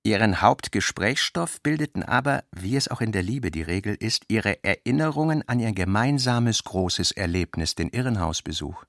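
A man narrating a literary radio play in a measured, expressive storytelling manner. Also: on the low side, no room sound, studio quality.

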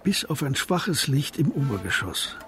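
A man narrating a scripted crime radio drama in a measured storytelling manner. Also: mid-range voice, sing-song, a bit bright, slight background noise.

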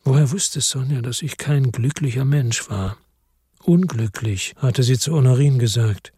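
A man reading first-person literary narration in a measured, brooding, melancholic manner. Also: mid-range voice, dark tone, slightly rough, no echo, studio quality. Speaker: audiobook narrator.

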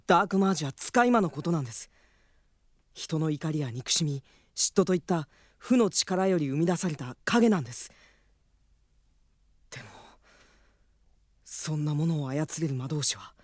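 A man playing a polite, studious young mage in a radio drama, explaining things gravely and carefully with respect. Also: a little high, expressive, moderate pace, pauses often, no echo, clean studio sound.

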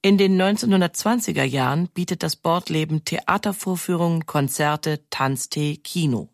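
Female narrator, very low register, scripted history documentary, dry close studio sound. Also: moderate pace, creaky, dry recording.

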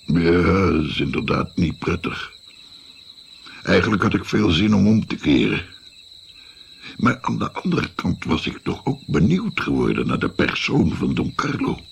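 An actor playing an old grandfather who narrates his youthful journey in a radio drama, reflective and unhurried. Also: low-pitched, big pitch swings, vocal fry, slight background noise, full character voice. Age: older.

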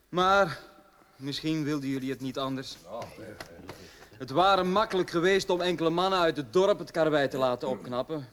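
An actor playing an earnest, commanding young dwarf lord in a radio drama, with a Flemish accent. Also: male voice, on the high side, fairly bright tone, slight background noise.

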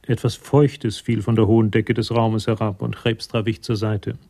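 A man's voice: on the low side, flat delivery, normal speed, gravelly, warm tone. A man narrating a German radio play in a sober, composed literary style.

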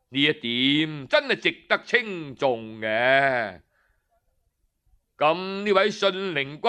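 A Cantonese man narrates in traditional storytelling style. His voice is high and forceful, and he takes his time, shaping each phrase with theatrical emphasis.

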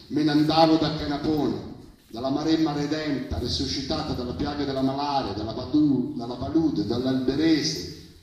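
A man delivers spoken-word poetry in an incantatory way, leaning on refrains. His overall pace is slow, and the live recording is heavily echoing and somewhat far from the microphone. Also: on the high side, a little mellow. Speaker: poet performing at a reading. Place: live public reading, heard from a distance. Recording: very echoey, distant live recording.

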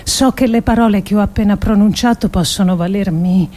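An actress playing an earnest, resolute woman politician who delivers a solemn theatrical speech with measured, emphatic phrasing. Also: medium pitch, dark tone, lightly acted. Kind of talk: theatrical monologue, solemn oratory.

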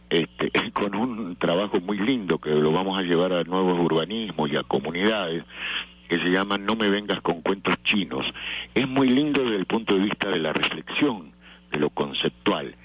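An older man, a theatre organizer, answering interview questions thoughtfully with many pauses and fillers. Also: sits low, fairly bright tone, slightly rough, rough recording. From not Venezuela.

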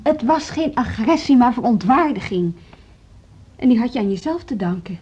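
An actress playing a stubborn, sceptical music teacher in a radio drama, with a blunt, indignant manner. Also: female voice, fairly high pitch, animated, normal speed, a little mellow, slightly rough. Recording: muffled old radio recording.

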